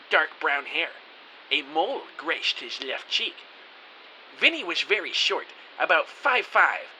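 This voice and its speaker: A man's voice: fairly high pitch, pushed and bright, exaggerated voice, poor audio. A man playing a hapless, anxious everyman, narrating in the first person in an earnest, theatrical way for an audio drama. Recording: faint hiss.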